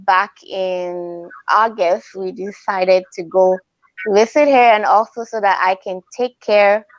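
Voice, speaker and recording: A woman in her thirties with a Ghanaian accent, a guest speaking calmly and softly over a video call. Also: medium pitch, no echo. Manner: calm, soft-spoken, light laughter. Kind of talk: casual video interview. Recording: dry, dull video call audio.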